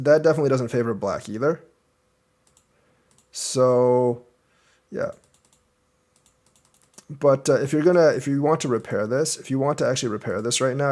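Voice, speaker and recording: Young adult male Go teacher, casual game review, thinks aloud move by move, mid-range pitch. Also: a little mellow. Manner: thinks aloud, explaining moves step by step.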